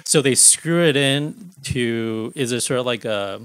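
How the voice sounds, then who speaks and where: A man hosts a podcast interview, asking brief, curious questions. His voice is mid-pitched and recorded dry and close. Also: lively intonation, a little slow, fairly bright tone, clean tone, dry recording. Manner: attentive, brief prompts and curious follow-up questions. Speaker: podcast host.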